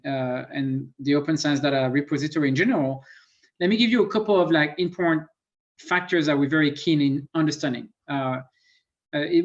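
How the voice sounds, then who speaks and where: A man with a French accent, a native French speaker, giving an online science presentation with many fillers and pauses. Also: on the high side. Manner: thoughtful, self-deprecating, many fillers. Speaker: guest lecturer. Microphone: computer mic on a video call. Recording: muffled lo-fi call audio.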